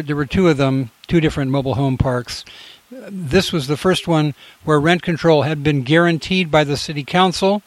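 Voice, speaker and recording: A male radio host talking loosely and informally, circling back and restarting his sentences as he goes. His voice sits a little high. The sound is dry and close, with no room around it. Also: no room sound. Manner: rambling, many fillers and restarts. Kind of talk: casual radio talk.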